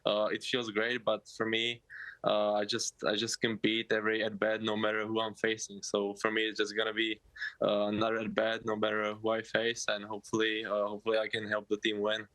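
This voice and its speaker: Flat-pitched young Czech man, bright and dry. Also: fairly quick, dry recording, poor audio. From Czech Republic. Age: 20s. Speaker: college baseball player, interview guest. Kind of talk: live interview answers.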